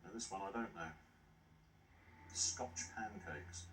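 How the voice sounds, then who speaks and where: Very deep-voiced quiz champion man. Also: takes it slowly, fairly bright tone. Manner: hesitant, thinks aloud. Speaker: resident quiz team member. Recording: echoey studio, faint hiss.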